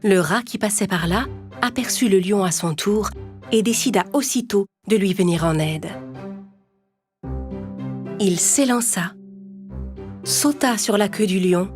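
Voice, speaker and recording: A woman narrates an animated fable for children. Her pitch is a little low for a woman, and she tells it as a scripted story. Music plays clearly under the voice. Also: measured pace, pauses often.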